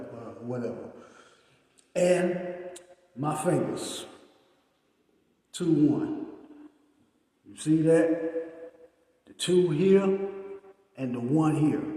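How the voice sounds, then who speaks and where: A man's voice: mid-range voice, a little mellow, very echoey, long reverb tail. A man from Austin, rambling and repeating himself as he talks casually to camera on his channel. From Austin, Texas. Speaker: YouTuber.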